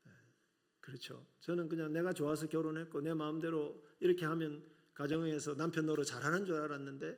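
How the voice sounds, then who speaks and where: A man's voice: a little high, level tone, fairly quick. A middle-aged man with a regional Korean accent preaching a sermon to a congregation, earnest, with rhetorical questions. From South Korea, outside Seoul. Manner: earnest, rhetorical questions, frequent filler "yes". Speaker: preacher, seminary professor.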